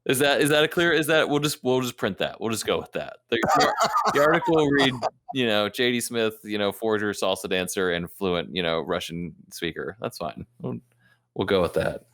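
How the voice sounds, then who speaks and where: A man's voice: on the low side, animated, normal speed, a bit bright. A man hosting a casual podcast interview, joking and asking questions with lots of fillers. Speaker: podcast host.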